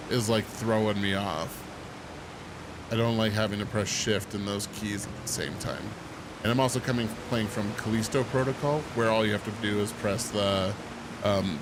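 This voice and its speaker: Man, sniffly from a cold, lowish voice, casual gaming chatter, heavy hiss. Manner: talks to himself, yelps at scares. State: sniffly, getting over a cold. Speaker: gameplay streamer. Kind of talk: casual let's-play commentary.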